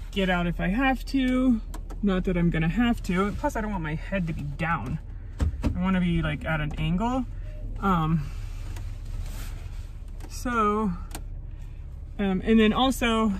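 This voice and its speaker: A woman in her thirties vlogging alone from inside a parked jeep at a rainy campsite, thinking aloud with many ums. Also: mid-range voice. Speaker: solo road-trip vlogger. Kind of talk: casual vlog chat. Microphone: phone camera at arm's length.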